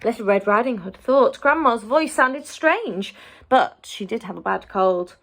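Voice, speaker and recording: Slow, animated woman reading to children. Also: on the high side, creaky. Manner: dramatic, deliberate, addressing small children. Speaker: teacher reading a story to young children. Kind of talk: expressive children's storytelling.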